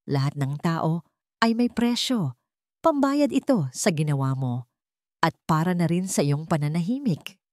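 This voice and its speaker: A woman's voice: low voice, expressive, unhurried, darkish tone, no room sound, studio quality. A woman voicing an imperious, wealthy female billionaire with a cool, transactional manner in a narrated story. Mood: coolly insistent.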